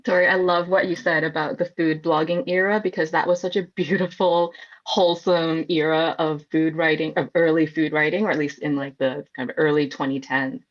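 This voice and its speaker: A woman from Hong Kong telling personal stories conversationally as a guest, chatty and expressive. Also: low voice, a little fast, muffled recording. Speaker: food journalist guest.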